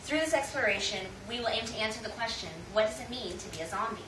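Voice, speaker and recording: A woman's voice: a little high, fast, far from the mic. An American woman giving a prepared informative speech in a formal, rhetorical presenting style. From United States. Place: indoor room, speaking to an audience. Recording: echoey room from afar, loud noise.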